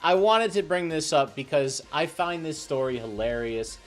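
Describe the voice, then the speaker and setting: A man's voice: a little high, animated, normal speed, faint music behind. A man hosting a sports talk show, casually presenting stories, reading out stats and putting questions to the panel.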